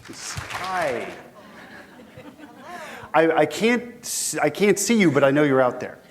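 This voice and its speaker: A man's voice: a little high, expressive, takes it slowly. A man with a head cold, sounding a little sniffly, giving a conversational conference lecture and putting questions to the audience. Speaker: guest lecturer.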